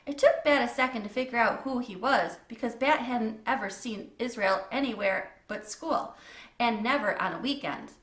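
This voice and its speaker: A woman's voice: a little high, moderate pace, fairly bright tone, echoey room. A woman reading a children's book aloud as a storyteller, in a scripted narration style.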